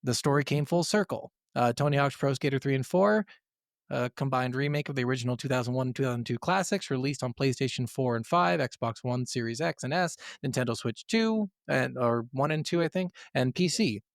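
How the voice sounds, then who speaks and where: A man's voice: medium pitch, lively intonation, a little fast, gravelly, dry recording, studio quality. A man co-hosting a gaming podcast, speaking informally and given to swearing, partly working from notes. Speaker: podcast co-host.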